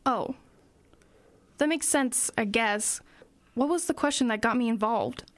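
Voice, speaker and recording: A woman's voice: on the high side, a little slow, close to an everyday voice. A woman playing a practical, inquisitive small-town girl in an audio drama. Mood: puzzling it out.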